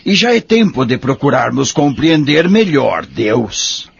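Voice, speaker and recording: A male actor voices a wise, devout old man with a Brazilian accent. His mid-pitched voice is creaky and moves expressively, patient and gently preaching. It is a radio drama with slightly dull, lo-fi sound. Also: sing-song, a little slow, slight background noise, full character voice. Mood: earnest. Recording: dull lo-fi audio drama recording.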